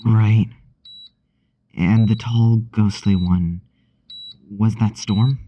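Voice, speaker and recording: A woman's voice: rumbling low, steady tone, extremely slow, highs cut off, slight background noise, heavily acted. A woman playing a sardonic, world-weary seventeen-year-old patient in an audio drama, dry and hesitant. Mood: awkward, hesitant. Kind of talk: audio drama monologue and dialogue. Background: music.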